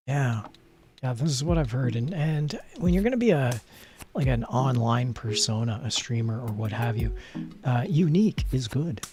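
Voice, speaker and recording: A man streaming a card game, chatting casually in a soft, rambling, self-deprecating way with asides to the game. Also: mid-range voice, lively intonation, normal speed, over music. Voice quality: soft, not gravelly at the moment. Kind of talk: casual live-stream chat while playing.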